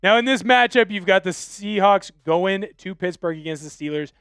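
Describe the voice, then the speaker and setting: Man, young adult, live football talk host, opinionated, high voice. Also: expressive, moderate pace, fairly bright tone, clear, resonant tone, no echo, clean studio sound. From Washington State, USA. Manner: opinionated, fired-up fan talk, reads viewer texts aloud. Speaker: sports show host. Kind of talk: live sports talk stream.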